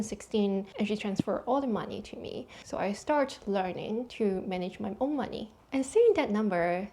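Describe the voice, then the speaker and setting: A woman's voice: mid-range voice, warm tone. A woman in her thirties from Hong Kong with a Hong Kong accent, a personal finance vlogger chatting casually to camera and laughing lightly between points. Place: London.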